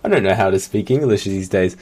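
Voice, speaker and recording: A man's voice: low-pitched, expressive, fairly quick, a little mellow, slightly rough. A man with a New Zealand accent doing live esports commentary with casual banter.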